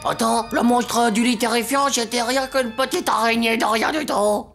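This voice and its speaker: A young cartoon schoolboy, boastful and full of imagination. The voice is fairly high, with slangy, dramatic phrasing. Music plays clearly behind it. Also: female voice, fairly even intonation, normal speed, fairly bright tone. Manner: slangy, hesitant stammering, dramatic. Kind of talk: animated children's cartoon acting.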